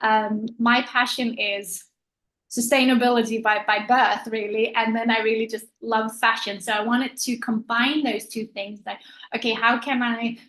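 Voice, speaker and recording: A woman with a Danish accent, speaking as a panelist in a webinar on a video call, thoughtful and pausing often with fillers. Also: fairly high pitch, level tone, a bit bright, low-quality recording. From Denmark. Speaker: panelist, pre-loved clothing store owner.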